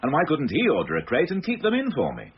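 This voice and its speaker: Radio drama: fussy British inspector, middle-aged, grumbling, very animated intonation, muffled recording. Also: male voice, a little high, fast talker. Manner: grumbling, exacting. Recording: dull, faintly noisy radio recording.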